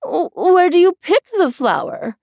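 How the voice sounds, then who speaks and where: Woman acting dithery old storybook queen, boastful, gasping, very slow, swooping pitch. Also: sing-song, a little mellow, no echo, clean studio sound, full character voice. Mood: muddled, querulous. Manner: gasping, halting, confiding. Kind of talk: storybook character reading.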